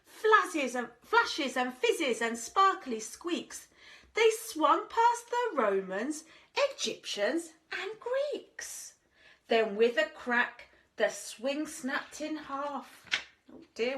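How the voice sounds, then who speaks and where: Animated, slow-paced woman reading a storybook. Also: high voice. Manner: dramatic, addressing young listeners with questions. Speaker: infant school teacher reading stories to children. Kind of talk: expressive children's storybook reading.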